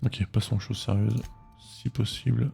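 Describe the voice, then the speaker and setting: Gravelly French man over game music. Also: lowish voice, fairly even intonation, moderate pace. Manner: chatty, mock-exasperated asides to the game. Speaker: gaming streamer. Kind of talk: casual let's-play commentary.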